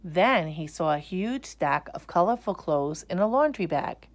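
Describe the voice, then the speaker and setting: A woman reading a children's story aloud in an expressive narrating style. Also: lowish voice, measured pace.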